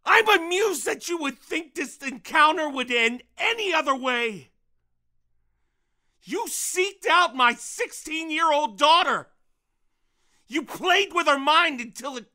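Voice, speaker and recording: An American man acting in an audio drama as a middle-aged, menacing, vengeful father, speaking with deliberate, controlled menace. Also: very high-pitched, expressive, bright, forceful tone, exaggerated voice. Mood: furious.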